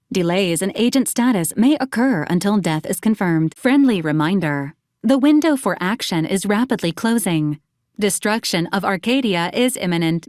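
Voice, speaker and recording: A woman voicing a formal, precise and deadpan female robot assistant in a video game, polite and cheerfully morbid. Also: mid-range voice, no room sound, clean studio sound, natural voice. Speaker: robot assistant to a military commander. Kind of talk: scripted video game voice lines, formal announcements.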